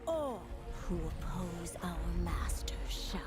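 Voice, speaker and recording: Menacing dark villainess, low, slow, dramatically swooping, threatening, video game cutscene. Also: female voice, big pitch swings, over loud music. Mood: fierce threat.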